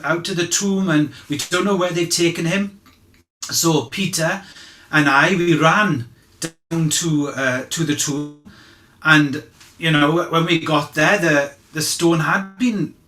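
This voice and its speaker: Man acting as halting, bright-voiced earnest disciple, echoey. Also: fairly high pitch. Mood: earnest, recalling. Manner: halting, hesitant, searching for words. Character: earnest male disciple testifying as eyewitness at inquest. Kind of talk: dramatized courtroom testimony, conversational. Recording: echoey room.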